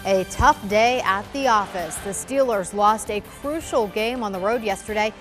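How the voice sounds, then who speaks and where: A woman's voice: mid-range voice, normal speed, fairly bright tone, soft background music. A woman anchoring local news, reading scripted stories in a broadcast style.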